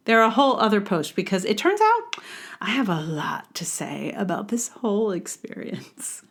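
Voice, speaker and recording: A woman's voice: mid-range voice, sing-song, moderate pace, slight background noise. A woman hosting a podcast alone, chatting casually in a wry, rambling way as if thinking aloud. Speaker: podcast host. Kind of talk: casual solo podcast talk.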